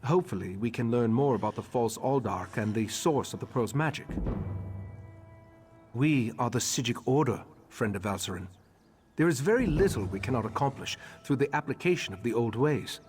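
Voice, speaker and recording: An actor playing a solemn, scholarly master of a magical order, speaking formally and deliberately in a fantasy game. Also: male voice, mid-range voice, animated, a little mellow, faint music behind, natural voice. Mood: solemn, instructive. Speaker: quest-giving leader of a magical order. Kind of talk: scripted fantasy game dialogue.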